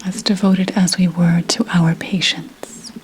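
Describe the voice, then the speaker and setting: A proud, secretive witch from centuries past, played by a woman, tells her story in a low, breathy whisper. She speaks very slowly, with arch, formal phrasing and a faint bitterness, in an intimate ASMR roleplay over soft background noise. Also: little pitch movement, close to an everyday voice, poor audio. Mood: solemn, wounded pride. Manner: deliberate, hushed, archly formal phrasing.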